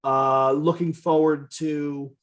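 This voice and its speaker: A man's voice: a little high, little pitch movement, slow. A man co-hosting a podcast in casual, profane, enthusiastic chat about what he is a fan of. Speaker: podcast co-host.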